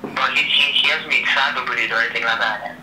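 A man's voice: medium pitch, steady tone, fast talker, pushed and bright. A young man chatting casually on a phone call, slangy and repetitive, tagging sentences with "yeah" and "innit". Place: phone speaker held up in a room. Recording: noisy, echoey speakerphone call.

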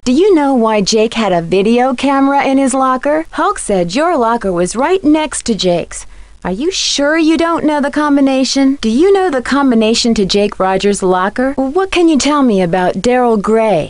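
A woman's voice: fairly high pitch, lively intonation, normal speed, no room sound, lightly acted. An actress playing an earnest, inquisitive teenage girl detective in a video game, with a direct manner. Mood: probing. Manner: direct, questioning. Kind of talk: scripted game dialogue.

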